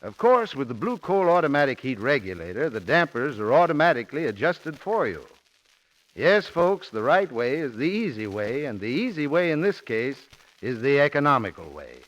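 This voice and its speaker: Animated male radio pitchman, dull old recording. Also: moderate pace. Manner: instructional, folksy. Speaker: sponsor's home heating spokesman. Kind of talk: scripted 1940s radio advertising talk.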